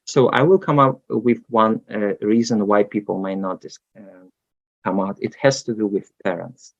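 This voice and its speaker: Russian-accented male guest in his thirties, low gravelly voice, halting delivery, dull lo-fi sound. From Moldova. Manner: hesitant, many fillers and restarts. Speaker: guest researcher. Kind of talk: casual interview talk.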